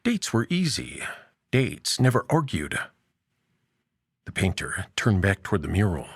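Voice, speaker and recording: A man narrating a scripted audio story as its host, in a measured, dramatic way with deliberate pauses. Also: deep voice, wildly swooping pitch, breathy edge, dry recording, studio quality.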